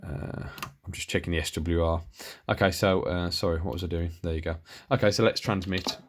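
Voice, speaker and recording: A man's voice: low-pitched, steady tone, brisk, slight background noise. A British man giving a casual, unscripted demo of his radio gear in a rambling, hesitant way, often interrupting himself. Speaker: hobbyist showing and reviewing his radio gear. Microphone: handheld camera.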